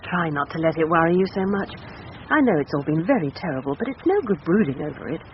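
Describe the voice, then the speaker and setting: A woman's voice: low voice, animated, quick pace. An actress playing a firm, tense, well-bred married woman in a radio drama. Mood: urgent, hushing. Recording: dull radio recording, faint noise.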